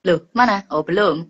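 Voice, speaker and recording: A woman's voice: mid-range voice, breathy edge, highs cut off. A woman co-hosting a casual live stream, chatty and reassuring with frequent little affirmations. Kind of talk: casual live chat, encouraging listeners.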